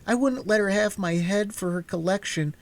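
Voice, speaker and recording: A man's voice: high-pitched, normal speed, voice pushed up. A man voicing a spirited little girl in a storybook reading. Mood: pleading. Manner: pleading, insistent.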